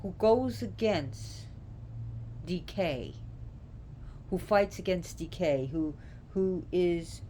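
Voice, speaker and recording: A woman giving an informal, unscripted explanation, halting as she searches for words. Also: sits low, dark tone, poor audio. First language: not Gaelic. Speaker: presenter explaining names of a Celtic deity. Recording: clear background noise.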